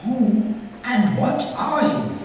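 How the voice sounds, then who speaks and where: An actor playing an elderly, fearful and wistful miser in a theatrical radio play, with a halting, emotional delivery. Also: male voice, very high voice, darkish tone. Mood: fearful, questioning. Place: theatre stage. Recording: echoey theatre hall, distant mic, faint noise.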